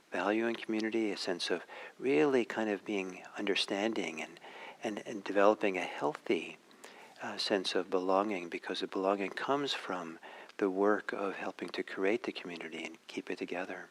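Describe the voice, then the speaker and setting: A man who is a native Norwegian speaker gives a reflective talk as a meditation teacher, pausing often and searching for his words. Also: low voice, low-quality recording. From Norway.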